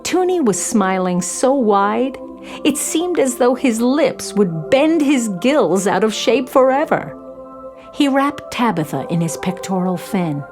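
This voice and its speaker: A woman narrating a scripted children's story in an expressive storytelling manner. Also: on the high side, measured pace, soft background music.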